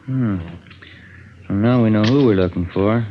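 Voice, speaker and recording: An actor playing a wry, plain-spoken police detective sidekick with an American accent in a 1950s radio drama. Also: male voice, on the low side, a little slow, natural voice. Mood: wry, curious. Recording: dull, hissy vintage radio recording.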